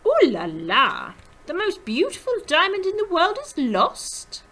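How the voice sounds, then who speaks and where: A woman's voice: very high-pitched, huge pitch swings, a little slow, a bit bright, pitched above the performer's own voice, full character voice. A woman voicing a glamorous female rabbit with a French accent and a musical, sing-song manner in a storybook. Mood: dramatic.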